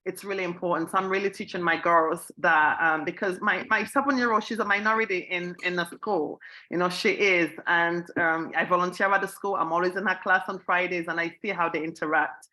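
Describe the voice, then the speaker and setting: A woman's voice: lowish voice, fairly even intonation, brisk, bright, forceful tone, poor audio. A woman from Sierra Leone, raised in England, speaking earnestly on a community panel and often repeating herself for emphasis. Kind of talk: panel discussion answer.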